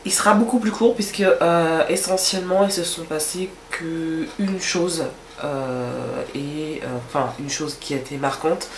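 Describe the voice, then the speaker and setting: A woman's voice: low voice, a little slow, hardly any pauses, roomy sound, a little hiss or hum. A woman with a stuffy, nasal voice from a cold, chatting casually to camera in a rambling way with many hesitations. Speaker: vlogger.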